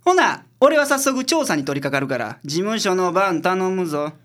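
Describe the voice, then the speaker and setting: A man's voice: high-pitched, sing-song, moderate pace, fairly bright tone. A man playing a blunt, brusque detective boss in a radio drama, speaking with a Kansai accent. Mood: businesslike. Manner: brusque, scolding.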